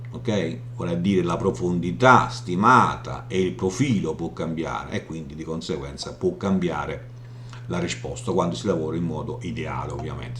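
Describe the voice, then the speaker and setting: A man giving an informal software walkthrough, explaining haltingly and often checking in as he goes. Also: sits low. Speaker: software tutorial presenter. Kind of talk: informal screen demo walkthrough. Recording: roomy echo, audible background hum noise.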